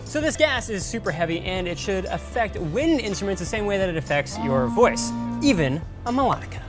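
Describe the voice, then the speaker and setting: A woman presenting science in a clear explanatory style. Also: medium pitch, big pitch swings, a little fast. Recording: loud music behind the voice.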